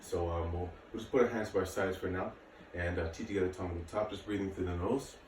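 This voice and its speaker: Deep-voiced man, echoey distant recording. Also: fairly even intonation, fast talker. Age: late 30s. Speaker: martial arts and fitness instructor. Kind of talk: casual workout instruction to camera. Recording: echoey room, distant mic, faint noise.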